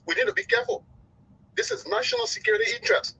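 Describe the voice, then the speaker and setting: A man's voice: very high-pitched, racing, vocal fry, pushed and bright. A man with a Liberian accent phoning a talk show, giving emphatic, impassioned political commentary and repeating phrases for effect. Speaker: phone-in caller. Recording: dull lo-fi call audio.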